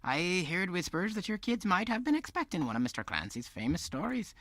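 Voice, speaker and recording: Animated storyteller Mister Clancy. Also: male voice, high voice, a little fast, dry recording, clean studio sound, heavily acted. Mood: genial. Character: genial Irish parish storyteller, Mister Clancy. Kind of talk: theatrical fireside storytelling.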